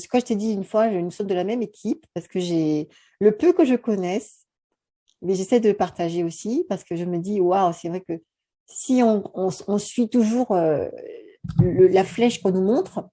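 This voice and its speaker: Woman host from France, very mellow dark tone, earnest, chatty, lots of fillers. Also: on the low side. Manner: earnest, talkative, many fillers. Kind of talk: casual conversation.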